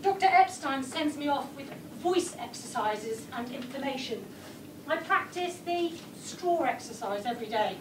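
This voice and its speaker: A woman narrates her story in the present tense for a theatre audience, her voice high but often sinking to a hush. She is heard from well back in a reverberant room with noise in the background. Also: expressive, moderate pace, far from the mic. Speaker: performer. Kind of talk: first-person theatrical storytelling. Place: theatre stage.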